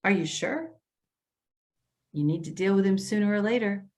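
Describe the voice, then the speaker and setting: A woman's voice: mid-range voice, moderate pace, a little mellow, close to an everyday voice. Woman acting a concerned, coaxing social worker. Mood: practical, nudging. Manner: coaxing, earnest. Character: concerned, patient female elder-affairs social worker. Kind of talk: readers theater stage acting.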